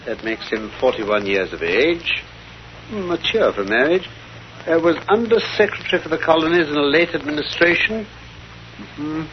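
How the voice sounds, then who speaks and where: An actor playing an assured, cerebral detective in a 1930s radio drama, with precise, formal, theatrical diction. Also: male voice, fairly high pitch, lively intonation, moderate pace, lightly acted. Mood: brisk, musing. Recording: dull, noisy 1930s radio recording.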